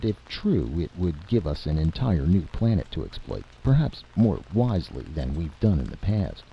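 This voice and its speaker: A man voicing a cautious, earnest economics expert, formal and deliberate, in a story reading on a dull, noisy recording. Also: low voice, vocal fry, pitched above the performer's own voice, exaggerated voice. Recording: dull lo-fi audio with steady hiss.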